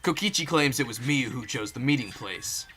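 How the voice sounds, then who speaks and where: A man's voice: mid-range voice, lively intonation, moderate pace, music in the background, lightly acted. A man in an amateur game fandub voicing an earnest young student detective working through deductions. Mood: puzzled, deducing.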